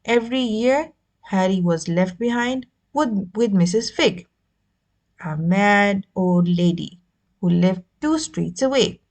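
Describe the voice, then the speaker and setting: A woman reading a children's novel aloud as an expressive narrator. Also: mid-range voice, slow pace. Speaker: audiobook reader. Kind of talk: expressive scripted story narration.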